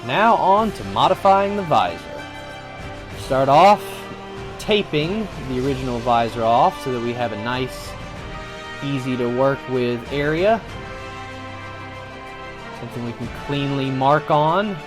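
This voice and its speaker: A man's voice: mid-range voice, expressive, a little slow, pauses often, over music. A man hosting a hands-on craft tutorial, explaining each step in a casual, explanatory way. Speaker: host of a craft tutorial channel.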